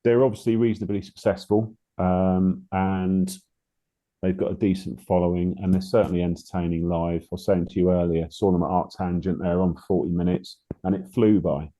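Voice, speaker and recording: Deep-voiced guy, kinda mellow, hesitant guest chatting about music. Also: little pitch movement, normal speed. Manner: hesitant, thinking aloud. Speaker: guest reviewer. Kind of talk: casual music review chat.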